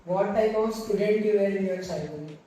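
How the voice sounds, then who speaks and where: A student with an Indian English accent asking an interviewer's questions haltingly. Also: medium pitch, dark tone, far from the mic. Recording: echoey room, off-mic.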